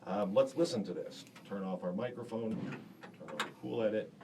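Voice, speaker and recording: A deep-voiced man teaching a class in a school radio studio, explaining casually with plenty of fillers. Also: fairly quick. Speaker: broadcasting instructor. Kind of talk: classroom demonstration. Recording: distant mic with faint room hiss.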